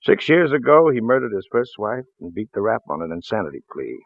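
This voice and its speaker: An actor playing a folksy, shrewd small-town police lieutenant in a 1940s radio drama, hesitant and apologetic in manner. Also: male voice, fairly low pitch, sing-song, fairly quick, no echo. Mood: shrewd, regretful. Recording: muffled vintage radio recording, phone-like band.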